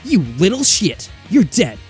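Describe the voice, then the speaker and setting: A man plays a spiteful young kitchen worker from a visual novel game. He is furious and menacing, with a raised, swooping pitch. Music sits faintly behind. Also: wildly swooping pitch, a little slow, darkish tone, heavily acted. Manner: menacing, overdramatic.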